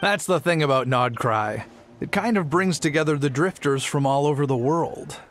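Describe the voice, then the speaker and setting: A man's voice: on the high side, normal speed, fairly bright tone, soft background music, close to an everyday voice. An actor playing a polite, earnest travelling merchant and family man in a video game. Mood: earnest, explaining.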